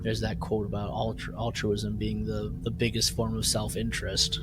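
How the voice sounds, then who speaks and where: A man co-hosting a podcast in casual chat, retelling things in an animated way and often checking for agreement. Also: on the low side, moderate pace, heavy background noise. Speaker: podcast co-host.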